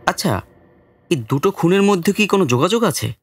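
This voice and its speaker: A man's voice: high-pitched, very animated, a little fast, heavily acted. An actor playing a curious, gossiping village man in audio drama dialogue.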